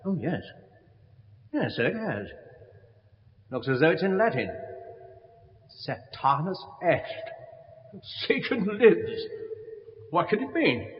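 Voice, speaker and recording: An actor playing a learned, concerned companion with theatrical, deliberate delivery in a 1970s British radio horror play. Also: male voice, on the high side, very animated. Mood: intrigued. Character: learned, concerned male companion, reasoning and gentle. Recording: dull recording, long cavernous reverb.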